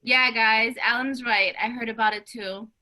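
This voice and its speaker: Woman reading as bright, highish keen schoolgirl. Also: fairly even intonation, normal speed. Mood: keen, persuasive. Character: keen female high school student, persuasive believer. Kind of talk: amateur table read.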